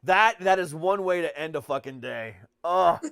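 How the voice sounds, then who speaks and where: A man's voice: a little high, animated, normal speed, gravelly, fairly bright tone, smooth, dry recording, clean studio sound. An American man hosting a podcast in casual chat, speaking bluntly and swearing freely. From United States. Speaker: podcast host and comedian.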